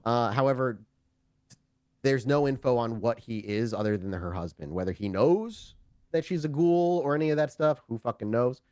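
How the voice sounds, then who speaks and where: Halting male game master, close dry sound. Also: medium pitch, lively intonation, a little mellow, dry recording. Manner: halting, conversational, self-correcting. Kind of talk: improvised narration of a tabletop roleplaying game.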